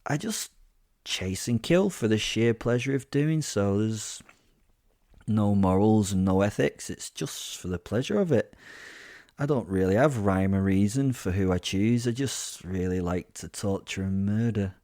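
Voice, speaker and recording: A man's voice: fairly low pitch, animated, measured pace, no room sound, clean studio sound. A man voicing a cruel, centuries-old werewolf confessing in a melodramatic monologue for a horror-comedy audio drama. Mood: gleeful, matter-of-fact. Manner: melodramatic monologue, from weak whispers to loud crescendos.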